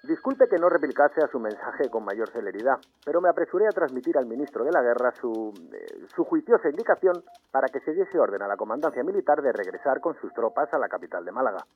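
Man acting a husky, courteous government minister. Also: mid-range voice, expressive. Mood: courteous, relieved. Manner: formal, measured wording, polite. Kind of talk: historical audio drama acting. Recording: muffled telephone line.

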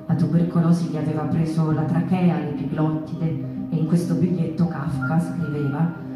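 Low-voiced woman narrating in reverberant hall. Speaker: narrator and stage performer. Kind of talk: scripted theatrical narration. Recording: reverberant hall, slightly distant, music behind. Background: music.

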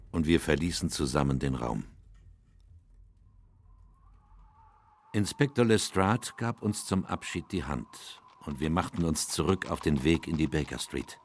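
An actor playing a sober, reflective gentleman doctor, narrating a radio play in a measured, literary way. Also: rumbling low, slightly rough, full character voice. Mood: pensive.

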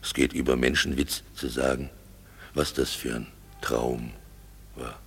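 A man acting a foolish, boastful craftsman in a German radio play, halting and wonderstruck, with a gravelly, husky voice. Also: extremely low pitch, fairly even intonation, fairly bright tone, a little hiss or hum, heavily acted. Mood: wonderstruck, musing. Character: foolish boastful craftsman waking bewildered from a dream.

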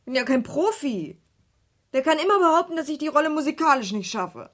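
A young female student in a naturalistic radio play. Her voice is fairly high, and she talks bluntly, with an aggrieved, complaining edge. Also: very animated, brisk. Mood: indignant. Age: young adult. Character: indignant young female music student singer. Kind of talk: naturalistic radio drama dialogue.

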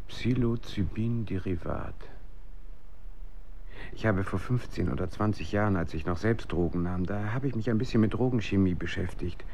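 An actor in a German radio drama playing a troubled former drug user who doubts his reality, speaking in a brooding, halting way. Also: on the low side, darkish tone, a little hiss or hum, close to an everyday voice. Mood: bewildered. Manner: brooding, halting, searching for words.

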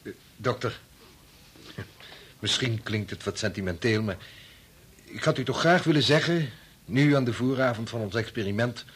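An actor in a Dutch radio play, playing a calm, loyal male research assistant, polite and deliberate. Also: medium pitch, breathy edge. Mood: respectful.

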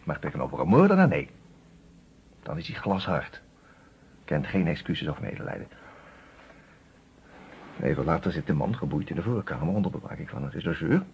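An actor playing an expressive, reflective storyteller who narrates a detective radio play in a dramatic scripted style. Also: male voice, low-pitched, slightly rough, full character voice. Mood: suspenseful. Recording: dull old radio recording.

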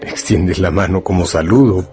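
A man's voice: low-pitched, moderate pace, a little mellow. A man delivering dramatic scripted narration for a radio drama, addressing the listener directly. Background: faint music.

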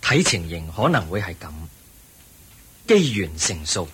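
聲線低沉、語調起伏嘅佛陀. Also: male voice, low-pitched, very animated, fairly bright tone, slightly rough, full character voice. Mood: serene, instructive. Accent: Hong Kong Cantonese. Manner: measured, instructive. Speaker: radio drama actor. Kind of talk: Cantonese radio drama acting. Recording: faint background noise.

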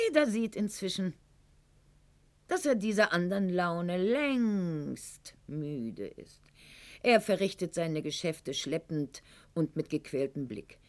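An actress playing a proud, wounded, sharp-tongued aristocratic lady of the Weimar court in a radio play monologue, articulate and declamatory, with dramatic pauses. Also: lowish voice. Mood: scornful. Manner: haughty, articulate, declamatory, with dramatic pauses and hushed line endings.

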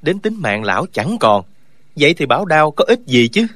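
A man's voice: a little high, very animated, moderate pace, fairly bright tone, no room sound. An actor voicing an upright young swordsman who speaks earnestly and lectures the old man in an audiobook. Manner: earnest, lecturing, sometimes mocking. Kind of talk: audiobook character dialogue.

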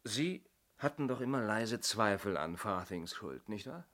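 An actor playing an easygoing junior detective in a 1970s German radio play, wry and inquisitive. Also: male voice, fairly low pitch, lively intonation, unhurried, a bit bright. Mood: wry, questioning. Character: junior male police detective, easygoing, questioning sidekick.

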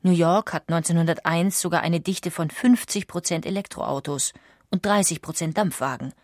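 A woman reads scripted documentary narration, articulating clearly as she explains. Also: lowish voice, normal speed, a little mellow, no echo, studio quality.

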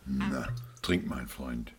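A man's voice: extremely low pitch, slow pace. An actor playing a dry, caring male friend in a radio crime drama. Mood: dry, concerned.

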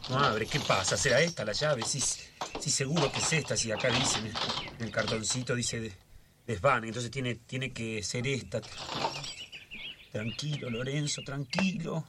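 A man's voice: mid-range voice, measured pace, heavy background noise, lightly acted. An actor playing a nervous, obsessive young man from the neighborhood in a radio drama, muttering and repeating himself with a Buenos Aires accent. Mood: flustered. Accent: Argentine, Buenos Aires.

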